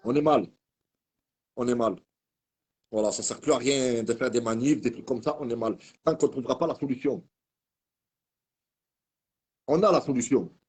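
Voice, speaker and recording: Husky man ranting. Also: mid-range voice, normal speed, long pauses, darkish tone. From Marseille, France. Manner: rambling, heated, repeats and restarts words. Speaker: online commentator. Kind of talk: ranting video message.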